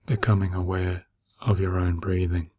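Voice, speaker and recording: Low, husky man guiding meditation. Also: little pitch movement. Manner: slow, gentle, soothing, with long pauses. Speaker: meditation guide. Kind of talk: guided meditation narration.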